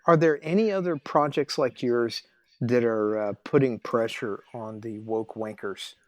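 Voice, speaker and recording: A man with an average-pitched voice hosts a casual interview. He speaks haltingly and with banter, in short informal turns. The recording is dry and close. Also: a little mellow, no room sound. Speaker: interviewer, show host. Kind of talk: casual chat.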